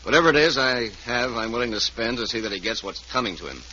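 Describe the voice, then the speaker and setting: A man's voice: medium pitch, animated, bright, forceful tone. An actor playing a ruined, bitter man set on revenge, speaking formally and grimly in an old-time radio crime drama. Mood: guarded, bitter. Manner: formal, deliberate, grim. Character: ruined, bitter, vengeful man, coldly determined. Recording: muffled, hissy old radio recording.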